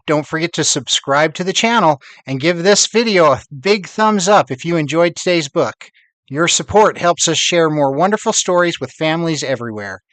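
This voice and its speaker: Older man with a lively storytime delivery. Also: fairly high pitch, no echo, low-quality recording. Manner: expressive, addressing young listeners and families. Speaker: host of a children's readalong. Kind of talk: storytime narration.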